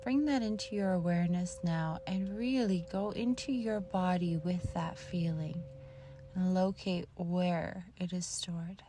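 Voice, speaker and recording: A woman narrating a guided meditation in a soft, soothing manner, with long pauses between short phrases. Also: on the low side, steady tone, slight background noise. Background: meditation music.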